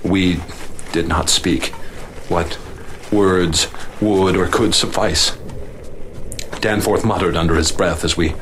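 A man's voice: sits low, steady tone, slow, pauses often, a bit bright, slight background noise, low-quality recording. Tense, stammering male radio-drama narrator. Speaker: narrator and professor. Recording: music playing under the voice.

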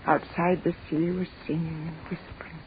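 A woman's voice: fairly high pitch, animated, moderate pace, close to an everyday voice. Woman acting old Irish widow, tremulous, pleading, grief-stricken radio drama mother, noisy phone-like sound. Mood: grieving, bitter. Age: older.

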